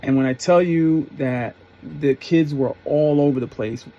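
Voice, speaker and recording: A man's voice: a little high, unhurried, dark tone, dull audio. A middle-aged man telling a personal story in a casual, rambling, reflective way. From New York area, US. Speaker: storyteller, former concert promoter.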